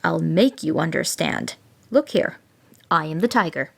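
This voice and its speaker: Woman doing a gruff impatient tiger. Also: deep voice, expressive, measured pace, exaggerated voice. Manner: gruff, commanding. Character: savage hungry tiger, impatient and quick to rage.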